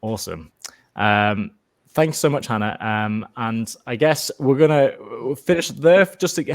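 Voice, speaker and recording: A man hosting an informal online presentation of an educational resource, speaking in an enthusiastic but hesitant, discursive way. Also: lowish voice.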